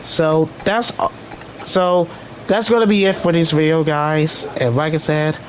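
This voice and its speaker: A young man hosting a casual gaming vlog, rambling with many restarts while his voice recovers from being hoarse. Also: on the high side, fairly even intonation, unhurried, slight background noise, poor audio. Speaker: gaming channel host.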